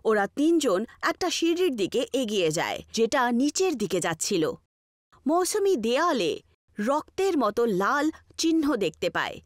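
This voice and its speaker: A woman's voice: fairly high pitch, no echo, studio quality. A woman narrating a scripted horror story.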